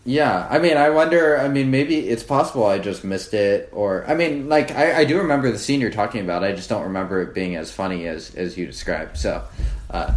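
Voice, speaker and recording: Low-voiced man in an echoey room. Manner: measured, hedging. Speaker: podcast co-host. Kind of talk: casual film-review chat.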